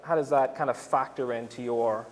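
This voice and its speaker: A man's voice: mid-range voice, fairly even intonation, normal speed, a little hiss or hum. A man, an art professor, asking careful questions with restarts as he moderates an artist talk in a gallery. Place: gallery hall. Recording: long hall reverb, faint ambience.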